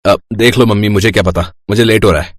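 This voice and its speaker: A man's voice: lowish voice, fairly even intonation, quick pace, dry recording, studio quality, lightly acted. A man playing a picky, self-centred college student son in a family story, whiny and dismissive.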